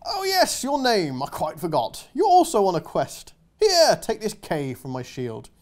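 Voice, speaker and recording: A man's voice: sits high, wildly swooping pitch, unhurried, heavily acted. A man voicing a cheerful, clueless male knight with plummy, upper-class speech.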